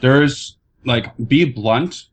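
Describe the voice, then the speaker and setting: A man's voice: mid-range voice, extremely slow. A man talking casually on a podcast panel, emphatic and explanatory. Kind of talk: casual opinionated discussion.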